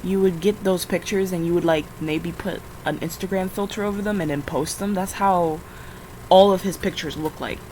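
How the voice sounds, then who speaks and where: A woman's voice: on the low side, steady tone, normal speed, dark tone. A young woman with a scratchy, just-woken-up voice, rambling in a casual vlog while she draws, full of fillers and self-corrections. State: just woke up, tired. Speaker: vlogger.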